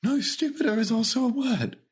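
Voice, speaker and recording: A man co-hosting a podcast, hoarse and losing his voice from illness, chatting casually about a film with a teasing, jokey manner. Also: very high-pitched, brisk. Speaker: podcast co-host.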